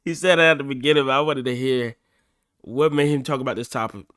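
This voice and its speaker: A man's voice: medium pitch, fast talker, fairly bright tone, clean tone, no echo, clean studio sound. An American man giving casual, slangy reaction commentary with an incredulous manner. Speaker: reaction streamer.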